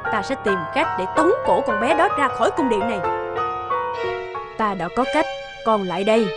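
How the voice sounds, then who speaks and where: A woman's voice: a little high, expressive, normal speed, natural voice. A woman voicing a scheming, cold stepmother queen in a fairy-tale cartoon. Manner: cold, plotting. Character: scheming stepmother queen, doting on her own daughter. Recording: loud background music.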